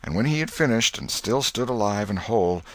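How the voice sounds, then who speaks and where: A man narrating an audiobook in an expressive, literary storytelling style with a dramatic delivery. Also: lowish voice, a little fast, no echo.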